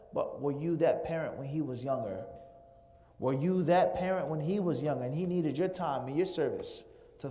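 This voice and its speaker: A man delivers a lecture-style sermon in a large Islamic center hall, with a voice sitting a little higher than most men's. He builds his points rhetorically, piling word on word in long lists. The sound carries a long hall reverb. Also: warm tone. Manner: rhetorical, piles up lists of words.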